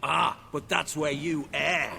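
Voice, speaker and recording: A man's voice: on the high side, fairly even intonation, takes it slowly, vocal fry, sharp tone, a little hiss or hum, full character voice. A man playing a cocky, taunting outlaw of noble birth in a video game, drawling his jibes. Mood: sneering. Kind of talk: video game cutscene acting.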